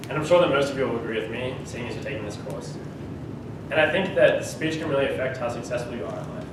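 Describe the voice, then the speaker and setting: A teenage boy with an Australian accent gives a joking, chatty speech to his class, now and then laughing through his words. He sounds far from the mic in a very echoey room, with steady background noise and a low rumble. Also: fast talker. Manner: humorous, conversational, laughs mid-sentence. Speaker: student speaker. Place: school classroom.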